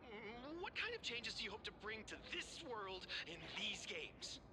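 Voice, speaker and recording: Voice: mid-range voice, sing-song, unhurried, background noise. A voice actor playing a polite, eager TV street reporter in a video game, asking questions in a chirpy way. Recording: game audio with background music.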